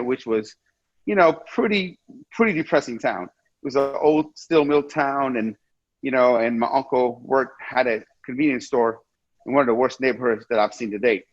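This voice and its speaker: A man's voice: mid-range voice, dry recording. A man in his forties from Iran speaks as an interview guest over a video call. He talks earnestly and circles back on his own phrases, repeating words and restarting sentences. The audio is dry and somewhat muffled, with no room sound around it. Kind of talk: casual interview talk. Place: indoor room with ceiling fan, video call.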